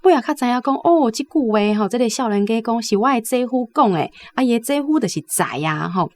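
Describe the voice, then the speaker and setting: A woman's voice: a little high, lively intonation, fast. A woman with a Taiwanese accent, hosting and retelling a story conversationally, often ending phrases with tag particles. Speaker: host.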